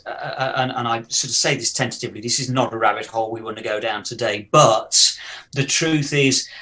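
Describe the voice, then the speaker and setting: A man's voice: medium pitch, a bit bright. Guy cohosting a podcast, careful and kinda tentative, stumbles on his openings. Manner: measured and tentative, with stammered starts. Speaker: podcast co-host. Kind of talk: casual podcast discussion.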